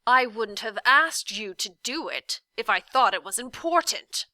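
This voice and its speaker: A woman voicing a villainous faceless male demon of petty tasks for a storybook, sly and menacing, drawing out words and moving between snarls and soft deceitful whispers. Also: fairly high pitch, animated, measured pace, no echo. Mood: sour, indignant.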